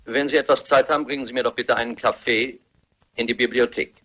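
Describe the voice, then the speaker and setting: An actor playing an irritated, haughty brother in a German radio mystery play, curt and indignant. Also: male voice, mid-range voice, steady tone, fast talker, pushed and bright. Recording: muffled, dull radio play recording.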